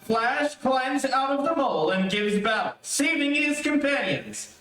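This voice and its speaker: A man's voice: extremely high pitch, big pitch swings, a little slow, fairly bright tone, soft background music. A man reading scripted narration for an old-time radio adventure serial. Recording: very echoey, distant microphone.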